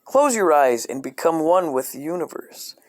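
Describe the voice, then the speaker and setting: A man reading a comedic parody children's book aloud in a deadpan, scripted storyteller style. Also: fairly high pitch, normal speed.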